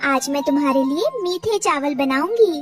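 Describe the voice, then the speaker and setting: A woman voicing a kind, humble mother sparrow in a cartoon, gentle and consoling. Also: high voice, expressive, normal speed, far from the mic, music in the background. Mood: soothing, maternal.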